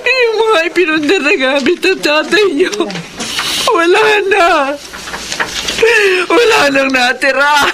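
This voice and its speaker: A tender, fretful mother in a radio drama. She speaks high and slowly in a lamenting, lively melody, over clear background noise. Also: exaggerated voice. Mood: despairing. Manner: slow, lamenting, tearful. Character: fretful, tender middle-aged mother of a poor family. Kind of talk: Filipino radio drama acting.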